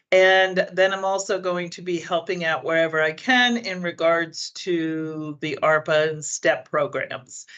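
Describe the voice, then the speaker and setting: A woman's voice: fairly low pitch, fairly bright tone. A woman informally introducing herself and her new agency role in an online meeting. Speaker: government agency staffer. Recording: dull, lo-fi video call audio.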